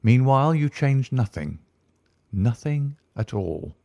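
A man voicing a calm, incisive male detective who speaks in a measured, commanding way. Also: medium pitch, gravelly, no echo, pitched above the performer's own voice, exaggerated voice.

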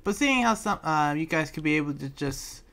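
A man playing a talkative, awkward young demon slayer in an improvised Minecraft roleplay, rambling and halting as he goes. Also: a little high, expressive, creaky, bright, forceful tone, exaggerated voice. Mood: rambling, explaining. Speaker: roleplayer playing the lead character. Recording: music behind the voice.

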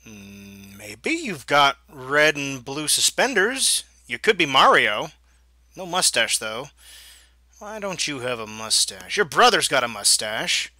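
A slightly hoarse young man riffing over his gameplay in a goofy, mock-exasperated way. He talks slowly, with a bright, sharp tone, and his voice sounds dry and close to the mic. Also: mid-range voice, big pitch swings, dry recording. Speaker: gaming YouTuber. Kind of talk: let's play commentary.

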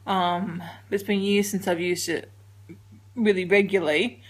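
An Australian woman in her forties talks into a handheld camera from her living room couch, rambling through her day with plenty of soft ums. Her voice is a touch husky and she sounds a little congested. It is an easy, unscripted home vlog. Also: mid-range voice, fairly even intonation, a little slow. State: congested, getting a cold. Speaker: vlogger. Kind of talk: casual daily vlog. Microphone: handheld camera held close.

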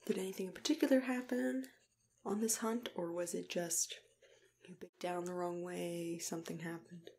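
A young woman in an ASMR roleplay as a dentist, speaking in soft whispers in a gentle, unhurried, reassuring manner, close to the microphone. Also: lowish voice, fairly even intonation. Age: young adult.

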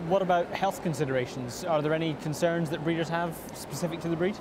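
A man's voice: on the high side, fairly even intonation, quick pace. A young man presenting and putting questions to guests in an interview. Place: large busy indoor exhibition hall. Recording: busy show hall noise.

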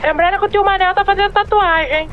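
Brazilian guy with super high squeaky voice, cocky, muffled game chat. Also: fairly even intonation, fast. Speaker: online game player. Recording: dull, noisy game voice chat.

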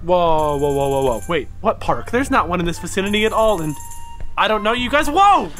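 An actor, a man, playing an excitable, defensive alien enthusiast out walking a dog in an audio drama. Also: high voice, brisk. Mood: alarmed, defensive. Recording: music behind the voice.

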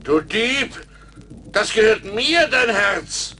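An actor playing a menacing, domineering giant forest demon in a theatrical radio play. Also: male voice, high-pitched, sing-song, slow, sharp tone, full character voice. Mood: enraged, commanding. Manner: domineering, commanding. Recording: vintage radio play with music underneath.

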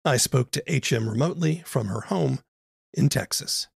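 A man presents an interview podcast in a gravelly, husky voice. He speaks as a host, partly scripted and partly conversational, recorded close and dry. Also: medium pitch, lively intonation, moderate pace, dry recording.

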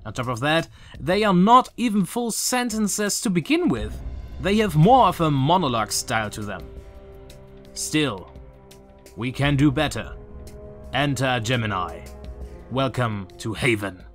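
A young man in his twenties with a German accent, earnestly talking through a game theory from his bedroom, with a few filler pauses. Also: medium pitch, sing-song, soft background music. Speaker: YouTuber. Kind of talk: game theory video commentary. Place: small bedroom with shelves, indoors.

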